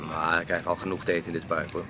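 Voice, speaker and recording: An actor playing a grumbling, old-fashioned middle-aged husband in a radio drama, with a complaining, sardonic, dismissive manner. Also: male voice, deep voice, completely flat delivery, rapid-fire, background noise, lightly acted. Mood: casual.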